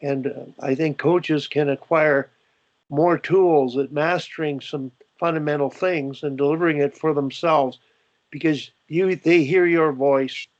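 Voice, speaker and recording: Older man, Canadian accent, host, rambling with fillers, slightly high voice, dry muffled call audio. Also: fairly even intonation, measured pace, no room sound. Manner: rambling, many fillers and restarts. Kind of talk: video call panel discussion.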